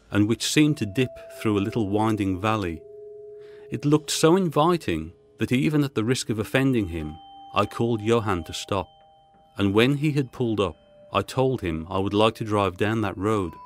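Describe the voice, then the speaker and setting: A man reading a Gothic short story as a scripted audiobook narration, with music behind him. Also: lowish voice.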